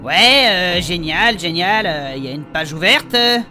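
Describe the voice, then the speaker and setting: A good-natured, easily confused male adventurer acted by a man in a fantasy comedy audio drama. His voice is bright and forward, his talk hesitant and colloquial. Soft music plays behind him. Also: high-pitched, expressive, measured pace, heavily acted. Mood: chatty, naive. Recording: voice over background music.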